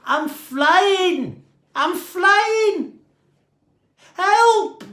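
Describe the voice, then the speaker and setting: A man voicing a timid, silly young astronaut with a high, whiny voice. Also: expressive, drawn-out words, sharp tone, reverberant, full character voice. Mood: panicky.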